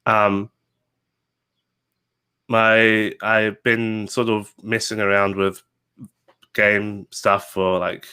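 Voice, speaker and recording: Bright-voiced young New Zealand man. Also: on the low side, very slow, pauses often, clean tone. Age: 20s. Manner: relaxed, rambling with many fillers and restarts. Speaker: guest game designer. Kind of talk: casual interview chat.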